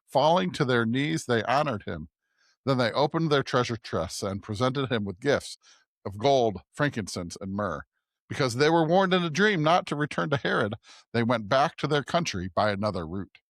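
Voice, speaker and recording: A man's voice: mid-range voice, sing-song, moderate pace, a bit bright, no room sound, studio quality. A man who co-hosts a podcast, reading a scripture passage aloud. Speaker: podcast co-host.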